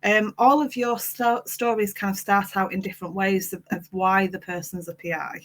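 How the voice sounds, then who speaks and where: A woman's voice: medium pitch, steady tone, moderate pace, a bit bright. Lady hosting a book chat, chatty and kinda hesitant. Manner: chatty, hesitant, many fillers. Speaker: panel host. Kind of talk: hosting a panel discussion.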